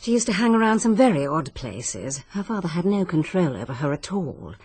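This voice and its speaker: Well-to-do stepmother, mellow slightly husky voice, clipped, guarded, disapproving, radio play. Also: fairly low pitch, sing-song, brisk. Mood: coolly regretful. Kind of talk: 1940s-style British radio drama acting.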